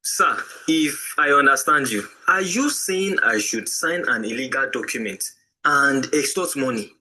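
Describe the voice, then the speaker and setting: A man's voice: medium pitch, animated, a little slow, bright, forceful tone, breathy edge, heavily acted, rough recording. A man performing an honest Nigerian chief accountant in an audio drama. He is polite and deferential to his superior, yet firm and principled. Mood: shocked, firm.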